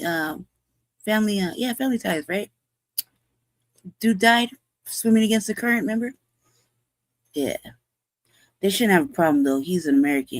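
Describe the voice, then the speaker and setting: A woman's voice: mid-range voice, no echo. A woman hosting a show, talking in blunt, profane, rambling commentary and laughing often. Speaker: show host.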